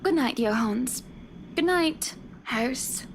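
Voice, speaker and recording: A high-voiced lady of the house, played by a woman, haughty yet kindly, speaks with genteel authority in a visual novel game. Clear background noise sits behind the voice. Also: lively intonation, slow pace. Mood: subdued, apologetic. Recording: clearly noisy home recording.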